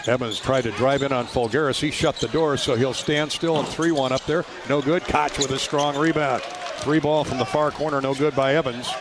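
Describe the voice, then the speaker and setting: A man's voice: medium pitch, fairly even intonation, moderate pace. American man calling basketball over noisy arena. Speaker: play-by-play commentator. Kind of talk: live basketball radio commentary. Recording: clear arena noise behind, ball bounces. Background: game ambience, bouncing balls.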